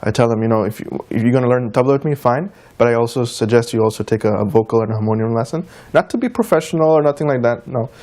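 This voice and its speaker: A man with a mid-pitched voice talks as an interview guest. He speaks informally and tells things as anecdotes, in a loose conversational way. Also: fast talker, hardly any pauses, a little mellow. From United States, of Trinidadian and Guyanese descent. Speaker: interview guest, tabla player and teacher.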